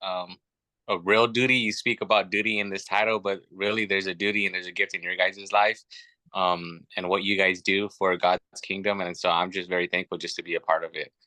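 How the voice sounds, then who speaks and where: Man, late thirties, podcast guest; fairly low, bright voice, earnest and chatty, dry close sound. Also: flat delivery, a little fast, no echo, rough recording. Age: 30s. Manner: earnest and chatty, with many fillers. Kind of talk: casual chat.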